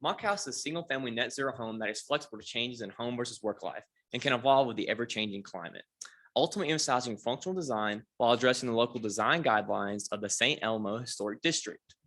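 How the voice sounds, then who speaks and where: A young man from Tennessee with a loud, deep voice, chatting casually as a student before giving a presentation. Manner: fast, friendly, chatty. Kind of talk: casual chat, then scripted presentation.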